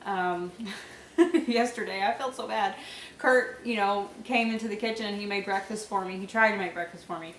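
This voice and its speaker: A young woman talks casually to her viewers, her voice stuffy and nasal from a head cold. She fills her sentences with ums and soft laughs. The sound is echoey, and she sits a little back from the mic. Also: medium pitch, moderate pace, recorded from a distance, slight background noise. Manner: chatty, many fillers and small laughs. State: congested, nasal, sore throat. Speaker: vlogger. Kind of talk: casual pregnancy update vlog.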